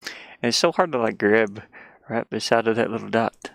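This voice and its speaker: A game developer chatting casually and haltingly while working at a computer, murmuring half to themselves. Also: medium pitch, gravelly, a bit bright, dry recording. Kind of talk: casual screen-share chat while working. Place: inside a van.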